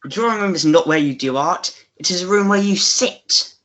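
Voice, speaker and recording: An actor plays a male melodrama scoundrel with a mid-pitched voice. He is pompous, impatient and bossy, scolding his underlings and scheming grandly in a radio play. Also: moderate pace, fairly bright tone, lightly acted. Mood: exasperated.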